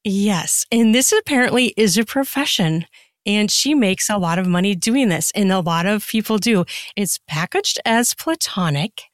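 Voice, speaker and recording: A woman's voice: mid-range voice, no room sound, clean studio sound. A woman chatting casually and emphatically as a guest in a podcast interview.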